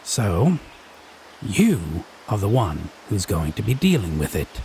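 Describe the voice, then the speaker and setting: An actor playing a haughty, teasing male Fae in an audio roleplay, speaking in an arch, pompous, theatrically drawn-out way. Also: deep voice, sing-song, mellow, a little hiss or hum, heavily acted. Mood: smug, scolding. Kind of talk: scripted audio roleplay drama.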